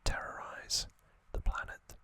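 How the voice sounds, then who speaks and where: A man whispering trivia softly for an ASMR video, close to the microphone, with frequent ums and uhs. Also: unhurried. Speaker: ASMR channel host.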